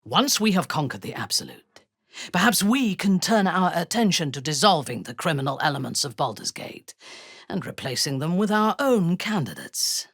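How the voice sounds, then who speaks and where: Husky, imperious drow commander. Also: female voice, sits low, sing-song, no room sound, studio quality, heavily acted. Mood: cold, resolute. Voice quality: husky, breathy. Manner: haughty, deliberate, menacing. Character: cold, imperious drow woman, ruthless commander, sly and seductive. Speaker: video game voice actor. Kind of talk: dramatic video game character dialogue.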